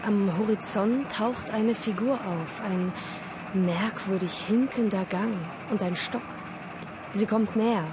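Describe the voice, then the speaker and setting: A woman narrating a radio play, reading scripted dramatic prose in measured storytelling phrases. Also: mid-range voice, noisy background.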